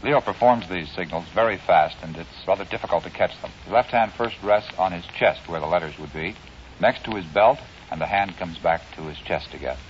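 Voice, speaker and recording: A man's voice: sits low, brisk, slight background noise. An American man as announcer, reading scripted lines in a 1950s radio style. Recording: dull vintage radio recording.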